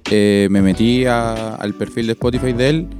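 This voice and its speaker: A man's voice: mid-range voice, fairly even intonation, moderate pace, music in the background. A Chilean man co-hosting, chatting loosely with local slang.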